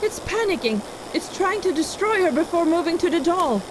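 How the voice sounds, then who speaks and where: A woman's voice: very high voice, lively intonation, normal speed, background noise. A woman playing a wry, irreverent witch and healer in a fantasy audio drama, wordy and sardonic. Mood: urgent, panicked. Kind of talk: fantasy audio roleplay acting.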